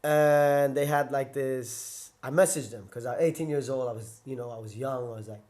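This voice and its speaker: A man's voice: medium pitch, normal speed, a little mellow. A young man, a former fighter and podcast guest, telling his story in a casual, rambling way full of fillers and self-corrections.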